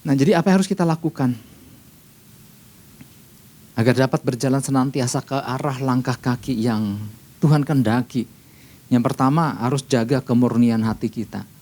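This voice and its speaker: A male pastor teaches in a relaxed, mellow voice with a creaky edge. His pitch sits in the middle for a man. He explains patiently in a conversational sermon style, restating key phrases and checking in with his listeners.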